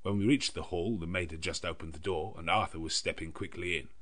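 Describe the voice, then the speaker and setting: A man with a very low voice narrates a classic gothic novel. His delivery is measured and literary, that of an audiobook storyteller reading from a script. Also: a bit bright.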